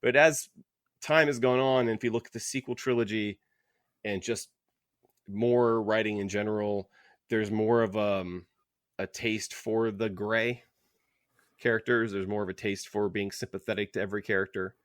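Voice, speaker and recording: A man with a fairly low voice, recorded close and dry. He talks casually as a podcast co-host in a book club discussion, giving his opinions with joking asides. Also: steady tone, moderate pace, no room sound.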